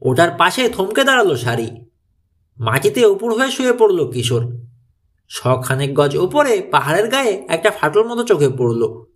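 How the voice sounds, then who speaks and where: Male narrator for mystery audiobook, higher-pitched voice with animated, swinging intonation. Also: normal speed. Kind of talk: scripted narration of a mystery thriller story.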